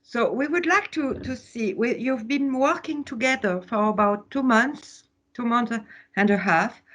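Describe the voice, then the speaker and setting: French woman teaching slowly on a video call. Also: a little high. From France. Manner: laughs often, hesitant with fillers. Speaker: workshop teacher. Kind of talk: online workshop teaching.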